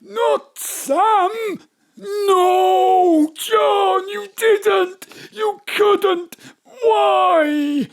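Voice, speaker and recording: A man playing an excitable, plaintive pub landlord in an audio drama, with a naive manner. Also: very high voice, very slow, creaky, heavily acted. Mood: anguished.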